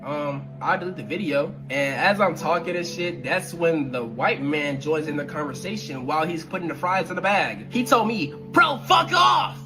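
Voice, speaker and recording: Animated young man telling a story over music. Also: on the high side, rough recording. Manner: animated, slangy, laughs while telling. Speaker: content creator telling a story. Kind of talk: casual storytelling.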